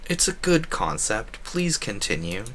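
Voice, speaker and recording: A man's voice: fairly low pitch, sing-song, unhurried, fairly bright tone, slight background noise. A man giving casual, chatty, opinionated commentary as a game streamer, often wandering off on digressions.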